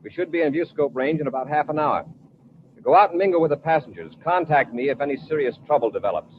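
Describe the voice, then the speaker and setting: An actor playing a brisk, authoritative and heroic space patrol commander in an old-time radio serial. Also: male voice, on the high side, expressive, close to an everyday voice. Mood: brisk, commanding. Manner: commanding, decisive. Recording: tinny, hissy vintage radio recording.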